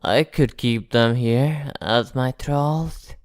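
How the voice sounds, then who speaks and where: A woman playing an arrogant, possessive vampire captor in an ASMR roleplay, haughty, teasing and softly threatening. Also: rumbling low, takes it slowly, dry recording, clean studio sound. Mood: possessive, gloating.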